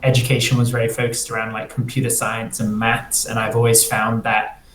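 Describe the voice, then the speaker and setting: A man's voice: medium pitch, completely flat delivery, fairly quick, a bit bright. Rambling man in a roomy, echoey room. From Singapore. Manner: rambling, restarts often, fills with "like". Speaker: guest, freelance front-end developer. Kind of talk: casual interview talk. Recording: roomy echo, faint ambient noise.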